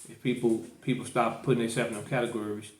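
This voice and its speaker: A man's voice: on the low side, level tone, fast talker. A man with a St. Louis accent hosting a podcast, pressing his point in a casual debate and repeating himself. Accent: St. Louis, Missouri. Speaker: podcast host. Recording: echoey room.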